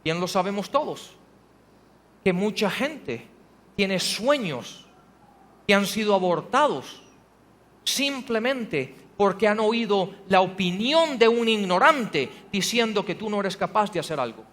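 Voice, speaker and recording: A man's voice: sits high, lively intonation, unhurried, fairly bright tone. A male pastor preaching a sermon in a church, pressing the congregation with rhetorical questions and rising to emphatic passages. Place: church hall. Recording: long hall reverb.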